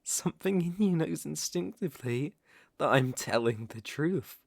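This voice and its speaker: A man's voice: on the high side, animated, gravelly, no room sound, clean studio sound. A man with a British accent playing a centuries-old aristocratic vampire lord in an ASMR roleplay, suave, predatory and teasing, with formal, theatrical phrasing. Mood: predatory, mocking. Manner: silky, theatrical, teasing and formal with lingering pauses.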